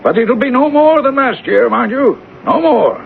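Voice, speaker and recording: A man's voice: extremely high pitch, lively intonation, moderate pace, gravelly, exaggerated voice. An actor playing a fussy, stingy, pompous male superior in a radio drama, stammering and dithering with disdain. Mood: evasive, stingy. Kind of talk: 1970s radio drama acting. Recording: tinny, noisy old radio recording.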